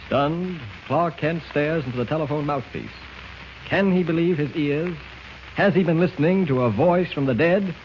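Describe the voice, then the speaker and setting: A man's voice: fairly high pitch, normal speed, lo-fi sound. A man narrating a 1940s radio serial in a dramatic, suspenseful, declamatory style, on an old scratchy recording. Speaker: narrator, announcer.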